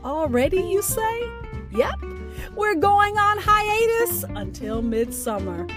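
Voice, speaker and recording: A woman podcast host reading a scripted sign-off with deliberate, emphatic phrasing over instrumental music. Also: extremely high pitch, very animated. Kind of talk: scripted podcast outro narration. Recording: music bed behind the voice.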